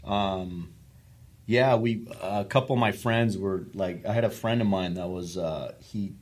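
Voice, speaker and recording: A man's voice: deep voice, measured pace, a little mellow. A middle-aged man from Argentina, a lead guitarist, chatting casually and rambling with many restarts. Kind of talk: casual chat.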